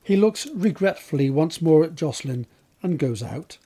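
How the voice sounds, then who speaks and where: A man's voice: a little high, animated, moderate pace, warm tone, breathy edge. A man reading scripted scene narration for an audio drama.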